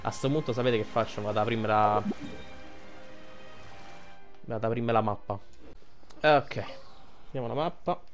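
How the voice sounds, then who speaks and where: A man's voice: fairly low pitch, normal speed, pauses often, faint music behind. An Italian man giving casual gameplay commentary, rambling and thinking aloud as he plays. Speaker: gaming streamer.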